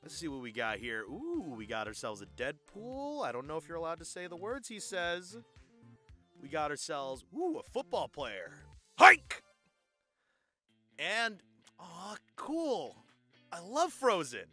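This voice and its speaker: An actor playing a jovial party guest in an audio drama, playful and exclamatory with drawn-out reactions. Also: male voice, fairly high pitch, wildly swooping pitch, sharp tone, soft background music, full character voice. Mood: playfully impressed. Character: jovial party guest greeting trick-or-treating kids.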